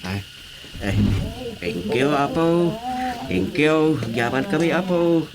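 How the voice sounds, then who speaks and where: An actor playing a meek, pitiful beggar in a radio drama, speaking humbly and pleadingly. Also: male voice, a little high, animated, slow, crowd noise, rough recording. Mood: humble, grateful. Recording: clear background noise.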